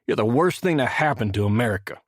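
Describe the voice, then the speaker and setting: A man's voice: medium pitch, lively intonation, quick pace, no room sound, clean studio sound, close to an everyday voice. A man voicing a huge, hostile, agitated working man in an audiobook, blunt and confrontational. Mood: angry, accusing. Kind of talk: acted audiobook dialogue.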